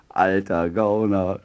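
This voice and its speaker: An actor playing a coolly superior football club boss in radio drama dialogue. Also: male voice, low voice, exaggerated voice.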